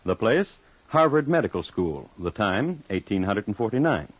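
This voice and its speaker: Pompous genteel crime narrator, measured and dry, old radio, close muffled sound. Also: male voice, fairly low pitch, big pitch swings, no room sound. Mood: portentous. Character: pompous, ghoulishly genteel crime historian and storyteller. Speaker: host-narrator. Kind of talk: 1950s radio narration, theatrical.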